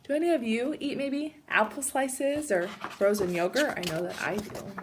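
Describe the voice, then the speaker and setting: A woman teacher reads a storybook to young children with a very lively, swinging delivery. Her voice is fairly high and bright, and she addresses the children directly with clear emphasis. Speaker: teacher reading a picture book to children. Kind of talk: expressive children's storybook read-aloud with asides.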